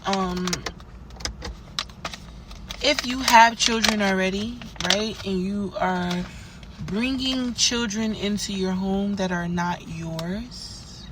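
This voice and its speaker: A young woman, hoarse for days, hosting a podcast and giving rambling, conversational spiritual advice with plenty of fillers. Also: mid-range voice, takes it slowly, noisy background. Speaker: podcast host. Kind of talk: casual spiritual advice monologue.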